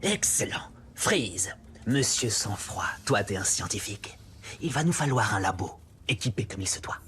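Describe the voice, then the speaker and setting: An actor voicing a sly, courteous criminal ringleader, breathy and smug, in villainous scripted dialogue. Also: male voice, on the low side, expressive, normal speed, fairly bright tone, slight background noise. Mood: scheming glee.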